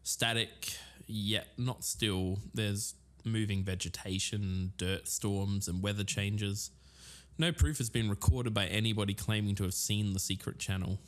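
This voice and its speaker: A man's voice: lowish voice, steady tone, measured pace. A man hosting a podcast, reading scripted documentary-style narration. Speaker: podcast host. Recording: loud music bed under voice. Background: prominent music.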